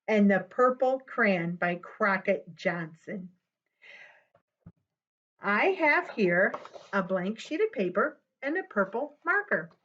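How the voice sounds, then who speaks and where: A woman presenting a storytime for young children, talking to them directly in an animated way as she reads aloud. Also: fairly high pitch, dull audio.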